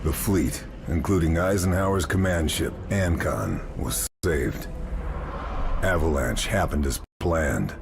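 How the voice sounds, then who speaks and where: An actor playing a gruff, laconic sniper in a video game, speaking tersely. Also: male voice, extremely low pitch, slow pace, noisy background, full character voice. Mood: grave narration. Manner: terse, understated. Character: gruff, laconic American soldier hero, a sniper. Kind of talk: video game cutscene narration and dialogue.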